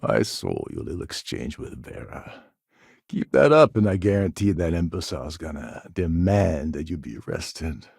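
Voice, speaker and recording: Gravelly, low-voiced sardonic space pirate. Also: male voice, sing-song, normal speed, darkish tone, no echo, studio quality, exaggerated voice. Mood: irritated, sarcastic. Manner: wry, sarcastic, world-weary. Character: gruff, sardonic space pirate con man, scheming and impatient. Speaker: video game character. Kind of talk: video game voice acting.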